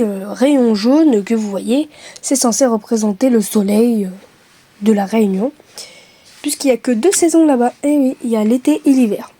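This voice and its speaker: A woman's voice: fairly high pitch, warm tone, dry recording. A young woman from France chatting casually to her camera, hesitant with many fillers and self-mocking asides. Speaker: vlogger.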